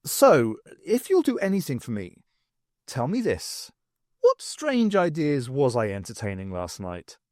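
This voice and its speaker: Man playing a woman adventurer, wry, sceptical, bemused, questioning, lively pitch swings, audiobook character. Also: unhurried, dry recording, studio quality. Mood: probing.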